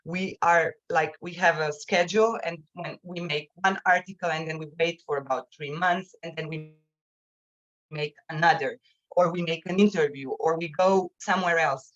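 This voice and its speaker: A slightly low-voiced woman speaks haltingly, repeating words as she presents and answers in a webinar. The sound is dull and lo-fi, like a muffled online call. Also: fairly even intonation, fairly bright tone.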